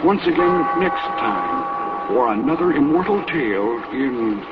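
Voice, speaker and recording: A man's voice: medium pitch, big pitch swings, a little slow. A man announcing a radio series in a portentous, theatrical scripted style. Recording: very noisy, muffled old radio recording.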